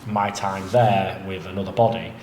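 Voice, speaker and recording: A lower-voiced man with a stuffy, nasal cold, talking in a loose, rambling way with plenty of fillers. He sits inside an echoey gym, and a faint hiss runs under his voice. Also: normal speed. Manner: relaxed, rambling, many fillers. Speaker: jiu-jitsu coach and academy co-owner. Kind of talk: casual chat. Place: inside a martial arts gym. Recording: echoey room with faint noise.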